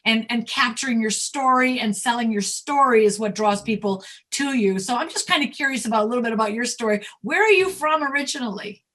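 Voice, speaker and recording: A woman's voice: fairly high pitch, fairly quick, few pauses, a bit bright. A woman hosting an enthusiastic, conversational interview in an effusive, complimentary way. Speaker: host, talent manager.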